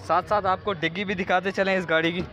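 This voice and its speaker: A man's voice: high-pitched, fairly even intonation, quick pace, bright, forceful tone, soft background music. A man with a Karachi Urdu accent, filming a walkaround of cars at an outdoor car bazaar on a handheld phone, repeating prices and details back as he asks sellers questions. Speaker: vlogger touring a car market. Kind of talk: casual walkaround car review.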